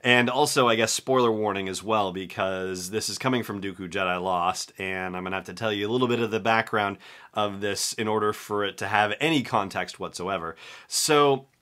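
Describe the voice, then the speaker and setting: A man's voice: fairly low pitch, normal speed, sharp tone. A man in his forties talking casually and emphatically as an enthusiastic solo podcast host, recorded at a desk in a small room at home.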